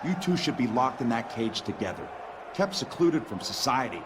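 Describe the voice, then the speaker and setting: A man's voice: mid-range voice, very animated, normal speed, crowd noise, close to an everyday voice. A man playing an arrogant, scheming wrestling champion in a video game cutscene, taunting and boastful. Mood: contemptuous. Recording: game cutscene with ambient noise.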